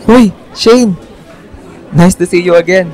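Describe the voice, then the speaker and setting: A man's voice: high-pitched, slow, a little mellow, soft background music, a faint crowd. A man playing a friendly, sincere young crush in a Filipino radio drama, easygoing in manner. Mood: cheerful greeting. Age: young adult.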